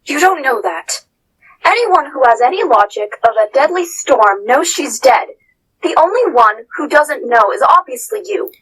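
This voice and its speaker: A woman's voice: sits high, big pitch swings, a little slow, fairly bright tone, exaggerated voice, poor audio. A woman voicing royal pony princess sisters in a fan story, with formal, dramatic delivery. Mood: scornful. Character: two royal pony princess sisters, one scornful, one earnest.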